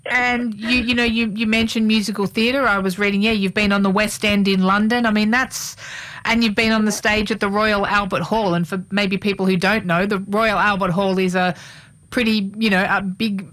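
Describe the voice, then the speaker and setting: A woman's voice: mid-range voice, steady tone, normal speed, a bit bright. A woman hosting a radio interview, asking long, rambling questions and correcting herself as she goes. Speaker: radio host.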